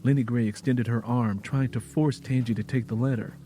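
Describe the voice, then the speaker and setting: Male audiobook narrator, warm dark tone, solemn and measured dramatic read, faint music and rain underneath. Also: mid-range voice, steady tone.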